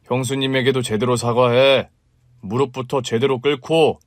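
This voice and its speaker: A man's voice: medium pitch, fairly even intonation, normal speed, dry recording, studio quality, lightly acted. A man doing a stern, commanding brother-in-law. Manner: forceful, commanding, shouting when angry.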